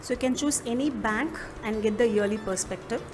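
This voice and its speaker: A woman with an Indian accent narrating an instructional software demo, explaining step by step in a measured manner. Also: on the high side, background noise. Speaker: product demo presenter. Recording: music behind the voice. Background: background music.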